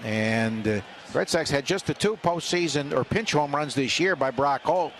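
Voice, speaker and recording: Man, radio baseball play-by-play, slightly high pitch, conversational, hesitant pauses, stadium noise behind. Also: lively intonation, a bit bright. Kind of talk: live play-by-play commentary. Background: outdoor ballpark ambience.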